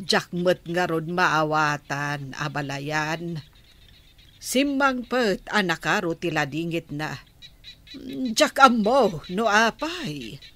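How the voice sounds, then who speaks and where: A woman's voice: lowish voice, fairly bright tone, full character voice. A woman playing a concerned, questioning middle-aged mother in a radio drama, with a Filipino Ilocano accent.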